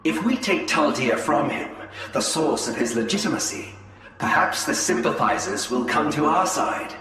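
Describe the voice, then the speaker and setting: An actor playing a cunning, treacherous alien elf spymaster in a video game, with a measured, theatrical delivery. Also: male voice, on the high side, animated, pushed and bright. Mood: scheming. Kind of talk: video game cutscene acting. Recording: cavernous reverb, distant, over music.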